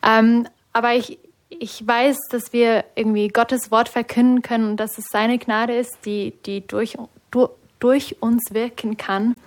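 A young woman from Switzerland with a Swiss German accent, a native Swiss German speaker, guests on a radio interview as a discipleship school student. Her voice sits fairly high but moves little in pitch. She answers hesitantly and nervously, with frequent fillers. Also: flat delivery, a little slow.

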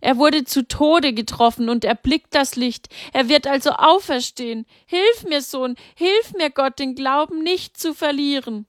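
A woman's voice: unhurried, no room sound, clean studio sound. A woman voicing Mary, a grieving, devout mother who pleads in a raised, anguished voice. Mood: pleading. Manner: pleading, anguished, high-pitched.

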